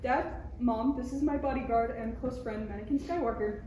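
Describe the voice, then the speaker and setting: Woman as playful young princess senator, kind, higher-pitched, distant in an echoey room. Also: normal speed, dark tone, slight background noise, natural voice. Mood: friendly, matter-of-fact. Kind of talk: table read of a parody script.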